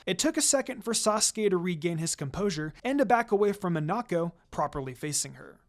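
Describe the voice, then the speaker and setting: Lively, expressive story narrator with an androgynous voice. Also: mid-range voice, a little fast. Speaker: fan fiction reader, narrator. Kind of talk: expressive scripted story narration with character dialogue.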